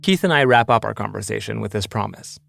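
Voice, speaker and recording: A man hosting an investigative podcast, calmly narrating a scripted documentary story. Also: lowish voice, fast, creaky. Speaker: podcast host and investigative reporter.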